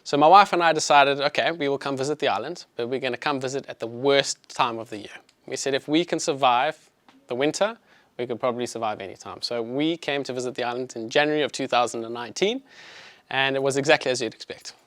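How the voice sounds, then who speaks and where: A man's voice: medium pitch, fast talker, a bit bright, no room sound. Chatty South African man giving a talk. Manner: friendly and conversational, with many fillers. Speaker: chiropractor giving an evening presentation. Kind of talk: public health talk to an audience. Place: clinic presentation room.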